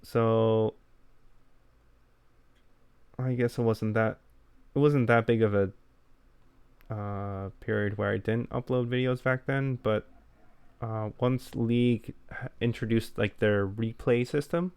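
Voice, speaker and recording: A man talks in a low, fairly flat voice with a smooth, clear tone. He sounds low-key and self-effacing, like a co-host in a relaxed podcast conversation. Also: slow pace, long pauses, no echo. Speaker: podcast co-host who makes gaming videos. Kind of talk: casual podcast chat.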